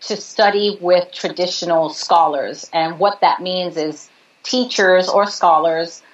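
A woman's voice: lowish voice, steady tone, slow pace. A woman from New York, a guest in an interview, explaining earnestly and at length. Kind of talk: conversational interview. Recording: slightly dull audio.

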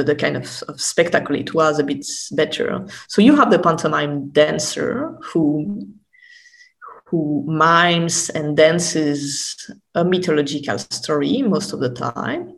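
A woman's voice: low-pitched, gravelly. A woman speaking as an academic guest in an interview, explaining thoughtfully and often stopping to rephrase. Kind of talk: unscripted explanatory interview talk.

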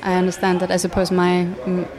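Flat-voiced thoughtful woman interviewer. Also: lowish voice, a crowd in the background. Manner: thoughtful, reflective, measured. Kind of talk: interview questions.

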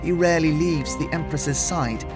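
An indignant young woman with a quick temper, as a male narrator puts her on, her voice lifted high above his own. She snaps her words in outbursts, sharp and distrustful. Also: over music, natural voice. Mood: grave. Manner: raised pitch, indignant outbursts.

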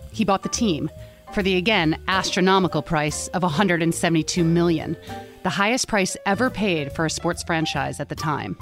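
This is a woman narrating the opening of a podcast from a script. Her delivery is polished and measured, at a slightly low pitch. Faint music plays behind her. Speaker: podcast host.

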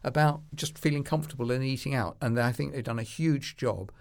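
A man's voice: medium pitch, brisk, creaky. A man, an industry expert, talking through a business conversation in an assured, explanatory way, using anecdotes. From United Kingdom.

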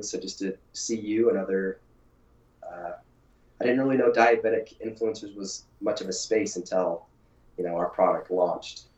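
Male guest with a cold, very low, raspy and froggy, relaxed; echoey room. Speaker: podcast guest, company founder. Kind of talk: casual interview chat. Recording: echoey, dull audio.

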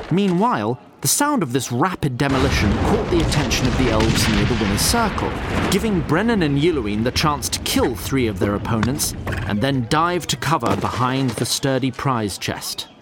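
Animated male storyteller over loud music. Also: a little high. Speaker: narrator of a fantasy audio drama. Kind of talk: scripted dramatic storytelling narration.

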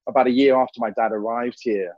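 A man's voice: medium pitch, steady tone, quick pace, darkish tone, no echo. Guy from hong kong in his 30s, loose rambly interview answers, dull muffled sound. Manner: rambling, many "you know" fillers. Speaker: interview guest.

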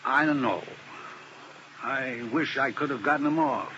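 A man's voice: mid-range voice, measured pace, bright, forceful tone, muffled recording. An actor playing a weary, guilt-ridden male defense lawyer in a 1950s radio drama, troubled and heartfelt. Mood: anguished. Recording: hissy old radio broadcast.

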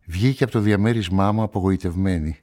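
An actor playing a guarded amateur sleuth, telling a crime story in measured, reflective radio-play narration and dialogue. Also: male voice, low voice, darkish tone, close to an everyday voice.

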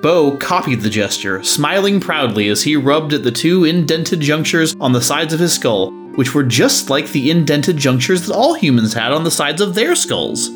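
A man's voice: on the high side, sing-song, moderate pace, few pauses, over music. A man narrating a scripted story.

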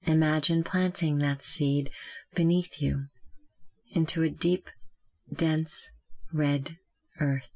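Calm, even-toned meditation guide with creaky voice. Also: mid-range voice, poor audio. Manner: gentle, calm, measured with long pauses. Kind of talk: guided meditation, slow scripted instruction.

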